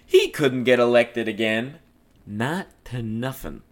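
A man's voice: medium pitch, expressive, slow pace. A man voicing an amorous, streetwise 1910s New York policeman with slangy, ungrammatical speech in a play reading. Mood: blustery. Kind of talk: play table read.

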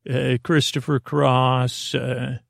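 A man with a noticeably creaky, mid-pitched voice hosts a bedtime podcast. He rambles whimsically, stopping, restarting and repeating himself as he drifts between tangents. The sound is very dry, with hardly any room to it. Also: little pitch movement, no echo. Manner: meandering, halting and repetitive, drifting between tangents. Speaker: host of a bedtime sleep podcast. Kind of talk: rambling, whimsical sleep monologue.

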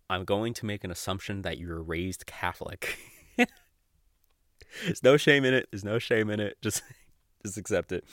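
Very low-voiced young man, rambling podcaster. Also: fairly bright tone, dry recording, clean studio sound. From Mexico or of Mexican family. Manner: giggly, rambling, sweary, halting delivery with false starts. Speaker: podcast host. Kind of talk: casual unscripted podcast monologue.

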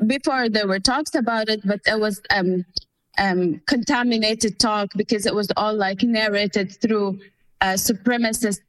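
A woman's voice: mid-range voice, measured pace, no echo, rough recording. A woman of Palestinian family background, answering interview questions in an earnest, explanatory way with many fillers. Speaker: guest.

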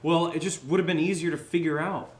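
A man's voice: brisk, lightly acted. Impulsive, goofy young American schemer played by a man. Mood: defensive, rueful. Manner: casual, rambling, excitable. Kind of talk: naturalistic comedic audio drama dialogue. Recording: low-level home recording, faint noise.

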